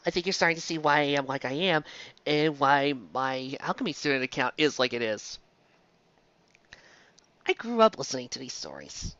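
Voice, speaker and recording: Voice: medium pitch, no room sound. Chatty, rambling reviewer, dull lo-fi sound. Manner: digressive, chatty, stumbles and restarts mid-phrase. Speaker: fan fiction reviewer. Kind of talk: casual rambling review monologue. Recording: dull lo-fi close dry recording.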